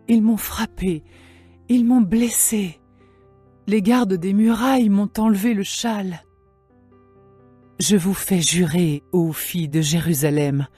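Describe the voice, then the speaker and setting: A woman playing a lovesick young woman longing for her beloved, in a measured, poetic dramatized scripture reading. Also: on the low side, warm tone, breathy edge, no room sound. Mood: longing, anguished.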